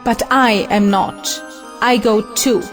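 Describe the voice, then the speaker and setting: A woman's voice: medium pitch, fairly even intonation, slow pace, soft background music, natural voice. An actress playing a defiant, idealistic young woman in love, in an earnest audio play. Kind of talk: audio play acting of an old stage drama.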